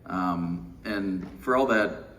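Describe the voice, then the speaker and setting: Slow, low-voiced American man giving a keynote. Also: a bit bright. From Houston, Texas, United States. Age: middle-aged. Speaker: keynote speaker. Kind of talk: informal keynote speech. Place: windowless indoor conference room. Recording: distant mic, faint noise, room ambience.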